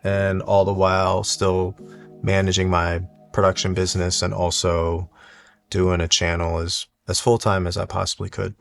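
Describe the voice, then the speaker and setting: A man with a deep voice and a crackly fry talks to his viewers in a casual, reflective vlog style. His delivery is monotone and unhurried, never pushing for excitement. Faint music plays behind him. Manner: monotone, unhurried, low-key. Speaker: YouTube watch collector and content creator.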